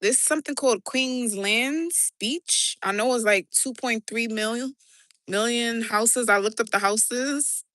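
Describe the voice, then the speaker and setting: A woman with a New York accent, chatting casually and excitably on a live stream from a city rooftop, talking into her phone. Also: a little high, unhurried, dry recording. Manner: chatty, swearing, rambling and excitable. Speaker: livestreamer. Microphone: handheld phone.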